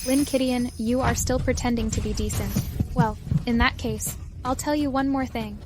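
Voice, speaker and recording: Woman doing arrogant heiress villain, highish voice, sneering and defiant, dubbed short drama w music. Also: fairly even intonation, normal speed, natural voice. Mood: scornful, gloating. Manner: scornful, defiant, imperious. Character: arrogant, ruthless rich young heiress and vengeful adopted daughter.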